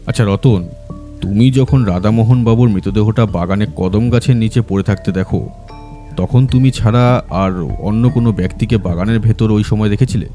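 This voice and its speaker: A man's voice: on the low side, moderate pace, warm tone, soft background music, natural voice. A man playing a stern, composed detective in an audio drama, questioning with firm authority. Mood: probing. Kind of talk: Bengali audio drama acting.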